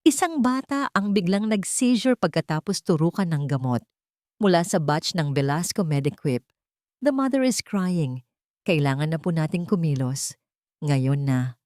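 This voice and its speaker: A woman's voice: low voice, animated, unhurried, a little mellow, no echo, clean studio sound. Urgent nurse, read by a woman. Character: urgent, distressed female nurse reporting an emergency.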